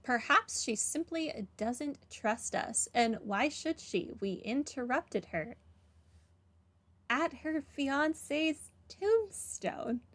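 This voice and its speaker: A woman chatting casually as she streams a game, musing in hesitant, halting phrases. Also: high-pitched, lively intonation, a bit bright. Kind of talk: casual playthrough commentary, chatting to viewers.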